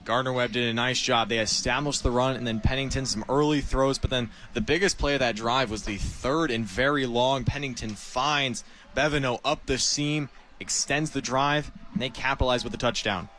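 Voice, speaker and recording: A man's voice: medium pitch, normal speed, pushed and bright. An American man giving live football color commentary in conversational, explanatory run-on sentences. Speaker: color commentator, game analyst.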